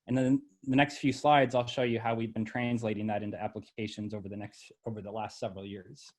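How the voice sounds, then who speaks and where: A middle-aged man who was born in El Salvador and raised in Ohio, presenting his university lab's research from slides in a measured way, with frequent ums and uhs. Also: on the low side, flat delivery.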